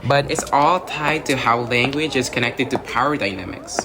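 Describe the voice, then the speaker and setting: A man acts as an earnest, academically minded male student in a radio drama. He explains patiently in a conversational way, often tagging points with a check for agreement. His medium-pitched voice sits over clear ambient noise. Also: normal speed, natural voice. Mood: earnest, sympathetic. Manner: explanatory, checks with "right?" and "you know". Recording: noisy outdoor ambience.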